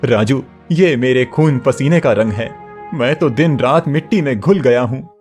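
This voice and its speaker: A man's voice: mid-range voice, measured pace, a little mellow, faint music behind, natural voice. A man voicing a determined young farmer son in a cartoon story, earnest and defiant. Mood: proud. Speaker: voice actor.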